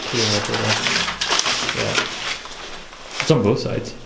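A man exploring an abandoned school with friends, talking quietly to his viewers in casual chat and often marveling at what he finds. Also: lowish voice, sing-song, very slow, creaky, background noise. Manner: hushed, easily impressed, talks to viewers. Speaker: urban explorer filming. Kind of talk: casual walk-through chat. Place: dark abandoned school building, indoors.

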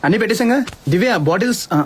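A man playing a breezy, excitable young husband in a romantic comedy film, playful and mixing English into his speech. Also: high-pitched, very fast, slightly rough. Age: young adult.

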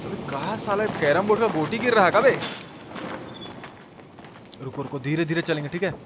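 High-pitched man streaming a game. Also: expressive, normal speed. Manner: informal, foul-mouthed, talking to viewers. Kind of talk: live gameplay commentary with chat shout-outs. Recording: clear background noise and game ambience. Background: video game ambience.

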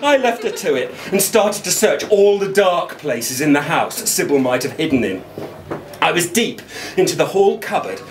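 A middle-aged man reading a children's story aloud theatrically, expressive and deliberate with dramatic pauses. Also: on the high side, slight background noise. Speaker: actor reading a children's book. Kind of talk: theatrical storybook narration in a schoolboy's first person. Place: wooden-beamed indoor venue at a live reading. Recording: echoey room, live event.

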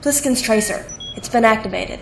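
A woman's voice: on the high side, normal speed, vocal fry, roomy sound, over music, poor audio. A woman playing a dutiful military control operator in an audio drama, clipped and formal. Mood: brisk, formal.

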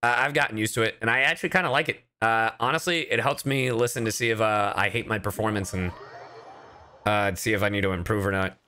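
A man's voice: lowish voice, quick pace. A man chatting casually and playfully on a livestream as a streamer and voice actor. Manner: animated, playful, casual swearing. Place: indoor livestream with game music.

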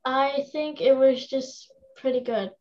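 A woman's voice: high-pitched, slow pace, a little mellow. A young woman from Long Island giving casual answers as an actor on a video-call panel. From Long Island, United States. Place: indoor room on a video call. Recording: dull lo-fi video call audio.

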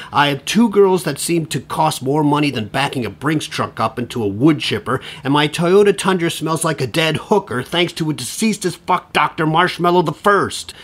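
A man's voice: a little high, a bit bright, husky, exaggerated voice. An actor playing a harried, foul-mouthed, despairing middle-aged man in an audio play, stammering and rambling in exasperation. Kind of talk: audio theater acting.

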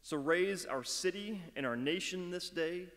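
A middle-aged man speaks slowly and earnestly from a lectern, his voice sitting a bit high for a man. He stops now and then with gentle fillers as he leads a prayer. Long reverb from a big hall trails each phrase. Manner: measured, earnest, halting with fillers. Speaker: school chapel leader. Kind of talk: chapel talk and spoken prayer. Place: dark auditorium stage at a lectern. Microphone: mic on the lectern.

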